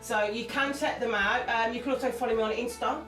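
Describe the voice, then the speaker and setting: A woman's voice: a little high, fairly even intonation, fast. Candid woman, echoey distant sound. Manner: open, emotional storyteller, laughs often. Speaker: interview guest, singer and actress. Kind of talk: candid conversational interview. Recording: echoey room, mic far off, music underneath.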